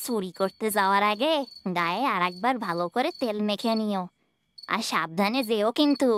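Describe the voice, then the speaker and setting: A woman's voice: mid-range voice, animated, normal speed, fairly bright tone, a little hiss or hum, lightly acted. A woman playing a bossy village wife in a cartoon, chiding and urging her husband. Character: nagging wife of a poor village thief. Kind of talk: cartoon voice acting.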